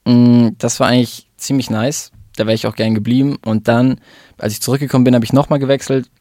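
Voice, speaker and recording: A man in his twenties from Germany, a rapper guest talking casually about his life, relaxed and rambling with fillers. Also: medium pitch, quick pace, no room sound, clean studio sound. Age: 20s. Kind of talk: casual interview chat.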